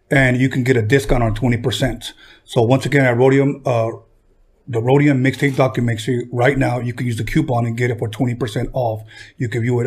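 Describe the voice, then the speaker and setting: A man's voice: medium pitch, a little mellow. A man hosting a podcast, chatting casually with a guest and often stumbling and restarting his words. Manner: halting, repeats words and restarts. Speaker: podcast host. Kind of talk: casual interview chat.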